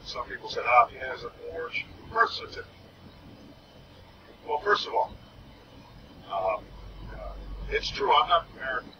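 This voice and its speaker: Male speaker, halting public-speech delivery, lively pitch; muffled, distant, noisy recording. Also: vocal fry. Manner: halting, with fillers and restarts.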